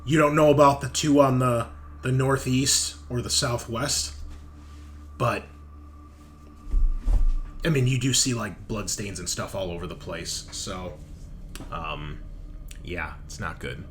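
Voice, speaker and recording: Slow, halting man running a tabletop game. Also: mid-range voice, a bit bright, a little hiss or hum. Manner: halting, thinking aloud with pauses. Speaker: game master. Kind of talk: improvised narration of a sci-fi horror tabletop game.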